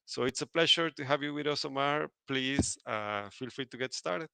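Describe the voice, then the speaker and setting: A man's voice: mid-range voice, moderate pace, vocal fry, pushed and bright, clear, resonant tone, no echo. A man hosting an online conference, making announcements and introducing a speaker, with many fillers and restarts. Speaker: event host.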